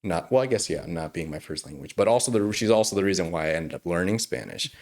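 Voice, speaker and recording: Low-voiced young man, earnest and reflective. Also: animated, quick pace, darkish tone. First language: English. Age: young adult. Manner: reflective, earnest, laughs easily. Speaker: attorney guest. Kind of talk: casual interview conversation.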